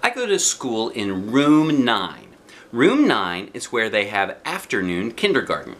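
American man, middle-aged, lively swooping storybook narration, bright voice, noisy home recording. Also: on the low side, measured pace. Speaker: children's book reader. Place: living room, indoors. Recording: noticeable background noise.

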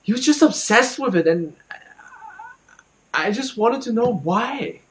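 A young man performing a dramatic monologue as an anxious, guilt-ridden student, speaking haltingly and repeating his words. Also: extremely high pitch, expressive, a bit bright, exaggerated voice. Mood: troubled, puzzled. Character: anxious, guilt-ridden student confiding about a troubled friend.

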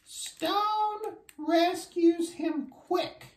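A woman's voice: very high-pitched, extremely slow, distant mic, voice pushed up, full character voice. A woman voicing a friendly, curious, childlike monster puppet on a children's story time show, with simple, eager replies. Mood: reading aloud. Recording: roomy home recording.

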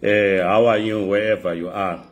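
Hoarse deep-voiced man. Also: fairly even intonation. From Zimbabwe. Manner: measured, frequent "uh" pauses. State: hoarse from a flu. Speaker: political talk show host. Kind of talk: direct-to-camera political address. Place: bright indoor room. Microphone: phone camera close up.

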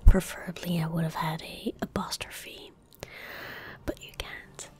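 A woman in her twenties speaking in a breathy, gentle whisper close to the mic, giving unhurried ASMR commentary as she plays a game. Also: sits low, little pitch movement. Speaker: ASMR gaming streamer. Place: indoor room at a desk. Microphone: close desk microphone.

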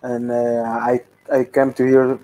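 Hesitant young Guatemalan man, mellow voice. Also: medium pitch, little pitch movement, dull audio. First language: Spanish. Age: 20s. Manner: hesitant, halting English with many fillers. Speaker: guest DJ. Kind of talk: casual video-call interview. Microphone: headset on a video call.

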